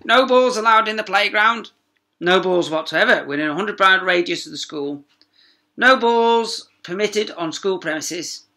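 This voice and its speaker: A man voicing a furious, officious middle-aged maths teacher from a children's storybook, shouting in outrage. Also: sits high, animated, moderate pace, voice pushed up, exaggerated voice. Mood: stern outrage.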